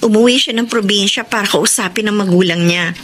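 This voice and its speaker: A woman's voice: mid-range voice, a little fast, fairly bright tone, close to an everyday voice. An actress playing an anxious, hesitant young girlfriend in a Tagalog radio drama, pleading as she talks. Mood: subdued. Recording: clearly noisy ambient background.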